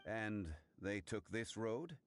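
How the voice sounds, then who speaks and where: A man playing a composed, learned gentleman in a video game, formal and measured in manner. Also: fairly low pitch, animated, a little hiss or hum. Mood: thoughtful.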